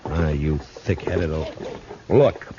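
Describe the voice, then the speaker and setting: An actor playing a tough, steady frontier marshal in a Western radio drama, with an American accent and a firm, plain-spoken manner. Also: male voice, extremely low pitch, slow pace. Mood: stern, exasperated. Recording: dull old radio recording, faint noise.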